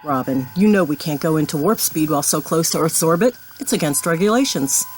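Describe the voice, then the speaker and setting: A woman playing a confident, good-humoured starship captain in a science fiction audio drama, brisk and commanding. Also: low-pitched, animated, music in the background. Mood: firm, amused.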